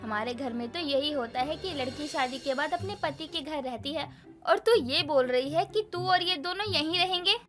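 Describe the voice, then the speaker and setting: A very high-voiced, sharp-tongued middle-aged mother-in-law played by a woman from a cartoon moral tale. She nags and complains pointedly, over clearly audible music. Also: moderate pace. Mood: suspicious, nagging. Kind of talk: animated moral story acting.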